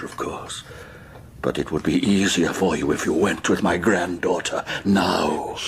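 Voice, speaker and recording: Ancient grandfather, low voice, formal, courteous menace, radio horror drama, slightly noisy recording. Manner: formal, quietly insistent. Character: courteous, sinister ancient grandfather, patriarch of an old family.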